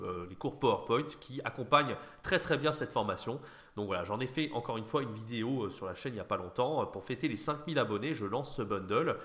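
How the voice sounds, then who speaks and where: Male online host, informal and slightly nasal, rapid casual commentary with a bright, forward tone. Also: medium pitch. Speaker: YouTube host, online French teacher trainer. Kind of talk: casual chat, reaction commentary.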